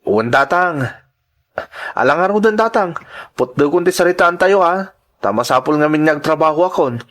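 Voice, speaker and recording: A man's voice: fairly high pitch, expressive, moderate pace, fairly bright tone. A man with a Filipino accent playing an earnest, worried migrant worker in an Ilocano radio drama, speaking in a hesitant, respectful way. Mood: evasive, reassuring. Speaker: voice actor.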